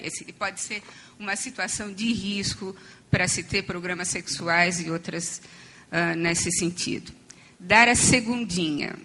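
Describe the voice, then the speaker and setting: A woman speaks at a conference with a hoarse, strained voice, near to losing it. Her tone is bright and sharp despite the hoarseness. She explains step by step, pausing on fillers and starting sentences over. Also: on the low side, slight background noise, rough recording. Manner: explanatory, with frequent fillers and restarts. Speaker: conference panel speaker on addiction treatment. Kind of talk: academic conference talk. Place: conference hall.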